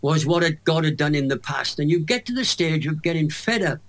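A man's voice: on the high side, fairly quick. An older Welsh man, an evangelist guest on a radio interview, telling stories emphatically with humour. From Wales. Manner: emphatic, animated storyteller with humour.

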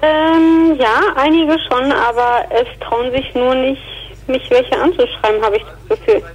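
Female caller, high voice, from Berlin-Brandenburg, hesitant, short casual answers, on the telephone. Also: fairly even intonation, measured pace, muffled recording. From Berlin-Brandenburg, Germany. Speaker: radio phone-in caller. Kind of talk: casual chat.

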